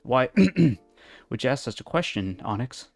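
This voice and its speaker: A man voicing an amused, doting pony queen mother with a measured, questioning manner. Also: medium pitch, very animated, no room sound, soft background music. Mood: taken aback.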